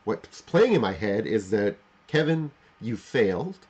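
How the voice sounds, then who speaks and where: A man in his forties thinks out loud on a livestream. His pitch moves up and down a lot, and his voice has a husky edge. He speaks in halting, emphatic bursts, circling back to rephrase what he just said. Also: sing-song, dull audio. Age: 40s. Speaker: livestream host. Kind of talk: unscripted reflective monologue to live chat.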